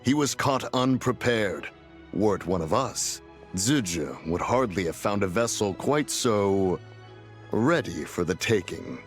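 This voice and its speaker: A man voicing a grave, measured immortal sage in a video game, formal and deliberate. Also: fairly low pitch, expressive, faint music behind. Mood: dry, measured. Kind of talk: anime-style video game voice acting.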